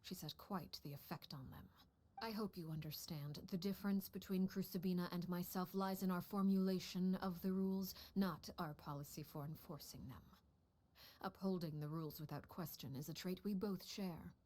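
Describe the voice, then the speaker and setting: A woman voicing a stern, icily composed head of an assassin household in a video game, speaking low and measured, often near a whisper. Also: fairly even intonation, dark tone, close to an everyday voice. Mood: coldly composed. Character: cold, stern mother figure called Father, head of an assassin household. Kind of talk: video game story dialogue.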